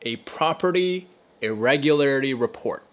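A man's voice: mid-range voice, lively intonation, moderate pace, slight background noise. A young man narrating his travel vlog in an upbeat, chatty way. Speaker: vlogger.

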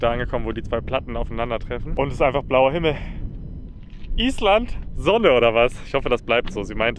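A young man chatting excitedly and casually as a travel vlogger on a road trip, with plenty of slang. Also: mid-range voice, a little hiss or hum. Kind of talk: casual travel vlog chat. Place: outdoors by a car on a road trip.

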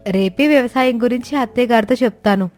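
A woman's voice: fairly high pitch, fast, a little mellow, soft background music, lightly acted. Cartoon daughter-in-law: young, caring, determined, voiced by a woman. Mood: earnest. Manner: earnest, respectful. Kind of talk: animated storybook voice acting.

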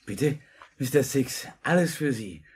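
A cheeky young errand boy of a gang of thieves in an old radio drama. His lively voice swings about with showy, servile eagerness. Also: mid-range voice, measured pace, slightly rough. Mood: eager. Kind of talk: 1950s German radio play acting.

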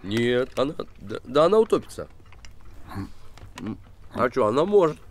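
A man's voice: mid-range voice, sing-song, moderate pace, slight background noise, natural voice. An actor playing an earnest, protective provincial man with a plain, colloquial way of talking in a screen drama. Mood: casual, concerned. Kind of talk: naturalistic screen drama acting. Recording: film soundtrack with background music.

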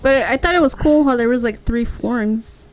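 A woman's voice: on the high side, normal speed, a little hiss or hum, rough recording. A woman chatting casually and teasingly while playing a video game. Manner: playful, teasing. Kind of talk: casual banter over gameplay. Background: video game music.

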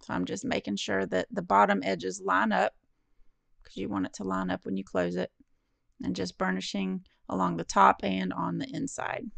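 A woman's voice: mid-range voice, little pitch movement, moderate pace, gravelly, fairly bright tone, no echo. A woman narrating a step-by-step card-making tutorial in a friendly, encouraging, instructive way. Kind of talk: step-by-step craft tutorial voice-over.

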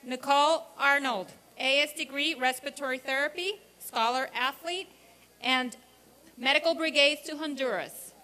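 A woman's voice: high voice, moderate pace, pushed and bright. A woman formally reading a roll of graduates as program director at a commencement ceremony. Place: outdoor college commencement ceremony.

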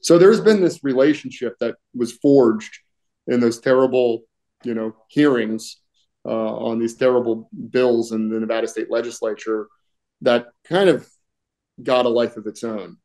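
Middle-aged man, mellow voice, throat-clearing. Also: medium pitch, fairly even intonation, moderate pace. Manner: conversational, many fillers, clears throat often. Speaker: union political director, guest. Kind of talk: casual video-call interview. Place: home room, indoors.

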